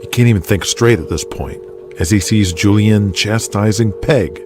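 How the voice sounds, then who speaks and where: Casting: male narrator for an audio drama, deep voice, dramatic scripted delivery over music. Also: moderate pace. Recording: music under the voice.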